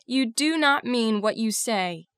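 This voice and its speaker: An actress playing an earnest, proud young governess, speaking with careful, emotional delivery in a dramatized classic novel. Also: female voice, on the high side, animated, slow, a bit bright, clear, resonant tone, no room sound, studio quality. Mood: indignant. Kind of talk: first-person dramatized storytelling of classic novel.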